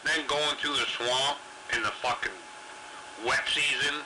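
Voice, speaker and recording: Casual male CB operator, average pitch, profane, repeats himself, heavy static on the transmission. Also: fairly even intonation, a little slow. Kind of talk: casual chat over CB radio. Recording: very noisy CB radio transmission.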